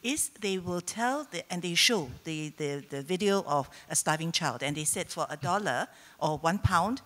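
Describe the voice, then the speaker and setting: A woman's voice: fairly low pitch, lively intonation, normal speed, a bit bright, in a large hall. A woman from the audience sharing her thoughts at a conference, chatty and with hesitations. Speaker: audience member. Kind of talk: question from the floor.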